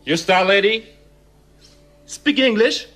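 High-voiced, bossy gunman. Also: animated, slow, sharp tone, faint music behind, exaggerated voice. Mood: threatening. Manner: barking short orders. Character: menacing English-speaking male gunman, impatient and bossy. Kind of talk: radio play acting.

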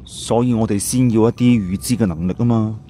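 A man's voice: lowish voice, fairly quick, mellow, close to an everyday voice. A man playing an earnest, thoughtful young adventurer in an audiobook, explaining plans seriously. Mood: worried, urgent. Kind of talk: audiobook character acting.

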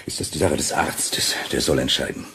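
An actor playing a cold, domineering husband in a radio play, stern, reproachful and condescending, swinging from calm to harsh. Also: male voice, very deep voice, moderate pace, slight background noise, heavily acted. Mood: cold, controlled. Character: cold, domineering husband tormenting his fragile wife. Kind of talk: German radio play acting.

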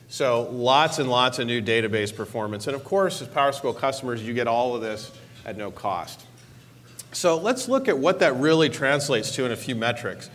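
A man in his forties on a conference stage, giving an enthusiastic keynote speech about a product release. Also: a bit bright. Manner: upbeat, enthusiastic pitch with stressed repeated words. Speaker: keynote speaker, technology executive. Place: large conference hall stage. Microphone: lapel mic.

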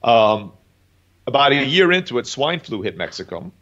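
A man's voice: mid-range voice, big pitch swings, normal speed, fairly bright tone. A man in his thirties who grew up in Turkey, a guest telling his story in a chatty way, with lots of "um" and "you know". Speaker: guest entrepreneur. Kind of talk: casual interview talk. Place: home in Mexico. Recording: dull lo-fi call audio.

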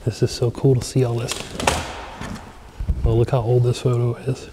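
A man excitedly narrating a walkthrough of an empty mansion, chatting to viewers as he films. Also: medium pitch, moderate pace, background noise. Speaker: abandoned places explorer. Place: large empty mansion, indoors.

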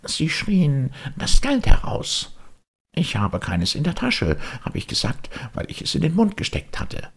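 A man voicing a naive, excitable little puppet boy in a storybook reading, childlike and eager. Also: on the high side, a little slow, husky, pitched above the performer's own voice, full character voice. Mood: breathless recounting. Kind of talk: audiobook storytelling.

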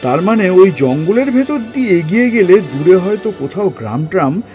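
A man's voice: high voice, sing-song, moderate pace, darkish tone, soft background music. A man acting a bossy, curious man in charge in an audio story, with a commanding, colloquial manner. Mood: musing, brisk.

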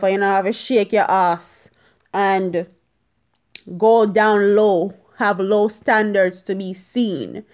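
A young woman speaks in a medium-pitched voice at an unhurried pace. She is casual yet emphatic, stressing and repeating phrases as she shares opinions with viewers. It is recorded on a phone held at arm's length in a room at home. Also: darkish tone. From Jamaica. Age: young adult. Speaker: vlogger. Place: small indoor room at home.

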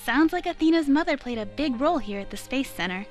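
A woman voicing a perky, excitable teenage girl in a video game fan dub, animated and exclamatory. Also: sits high, quick pace. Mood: surprised. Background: game music.

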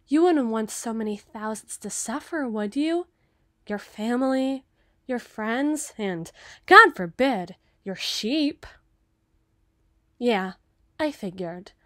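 A woman playing a slow, imperious evil queen. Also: a little high, pushed and bright, dry recording, studio quality, heavily acted. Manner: theatrical, imperious, menacing, deliberate. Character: cruel, possessive evil queen, haughty and coldly flirtatious. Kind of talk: ASMR roleplay acting.

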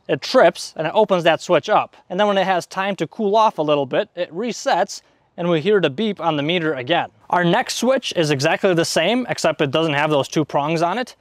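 A man's voice: fairly high pitch, expressive, moderate pace, no room sound. A man in his thirties giving an informal, step-by-step hands-on demonstration in plain language, speaking into a clip-on mic. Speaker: DIY repair video host. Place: outdoors in a leafy garden at a table. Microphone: clip-on wireless mic on the chest.

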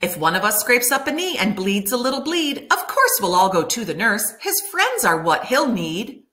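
A middle-aged woman reads a children's picture book aloud like a teacher, animated and clearly enunciated for young listeners. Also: fairly high pitch, normal speed. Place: room at home by a window, indoors.

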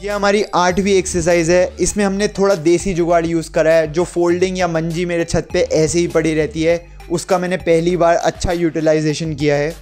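A man's voice: fairly high pitch, little pitch movement, brisk, hardly any pauses, a little mellow, faint music behind. A young man giving an explanatory workout voice-over as an instructor, dropping English gym terms into his sentences. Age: young adult.

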